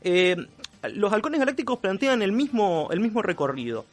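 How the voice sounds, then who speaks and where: An Argentine man giving a conversational radio column on culture, reflective and halting as he searches for words. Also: sits high, very animated.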